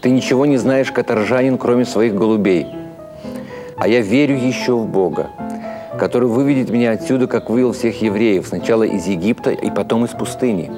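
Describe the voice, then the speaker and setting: A man's voice: medium pitch, brisk, music in the background, close to an everyday voice. A man voicing a small, wordy old broker in a storybook reading, pious, indignant and given to rhetorical complaint. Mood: defiant, pious.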